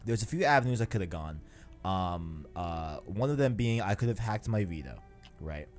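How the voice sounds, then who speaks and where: A man's voice: low-pitched, expressive, normal speed, soft background music. A man hosting a podcast, chatting casually about video games in slangy speech and often repeating himself as he agrees. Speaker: podcast host.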